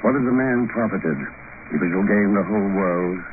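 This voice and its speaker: An actor playing a composed, urbane male secret agent with a calm, measured delivery in 1950s radio drama style. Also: fairly low pitch, natural voice. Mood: grave, reflective. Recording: muffled, noisy 1950 radio recording.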